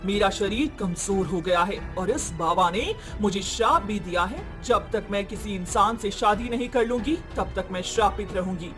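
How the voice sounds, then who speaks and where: A woman voicing a scheming sorceress with a persuasive, reassuring manner in an animated story. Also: medium pitch, normal speed, few pauses, over music, close to an everyday voice. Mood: demanding. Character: scheming wounded sorceress seeking another woman's body. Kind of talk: Hindi animated moral story acting.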